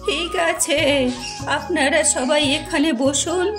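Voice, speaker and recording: A shrewd, calm old grandmother from a cartoon village tale, voiced by a woman. She speaks slowly in a high voice, with music clearly behind her. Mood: calm, assured. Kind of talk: Bengali cartoon moral story dialogue. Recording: music bed under the voice.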